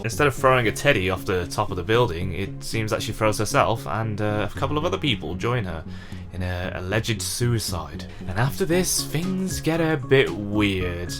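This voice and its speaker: A man's voice: fairly low pitch, animated, moderate pace. A man giving an informal, conversational visual novel review with wry asides. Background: music.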